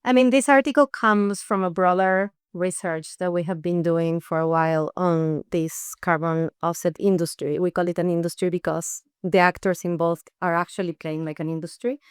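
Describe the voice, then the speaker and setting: A woman from Peru, an NGO research head, explaining things in an interview with measured, rhetorical questions. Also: lowish voice, steady tone, clean tone, no room sound, studio quality.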